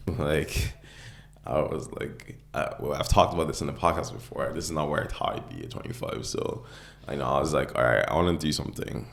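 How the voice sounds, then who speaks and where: A man in his twenties with a deep voice, co-hosting a podcast in a casual, rambling chat from a home kitchen. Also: normal speed, gravelly. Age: 20s. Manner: rambling, full of "like" and "you know". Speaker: podcast co-host. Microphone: speaking close into a mic.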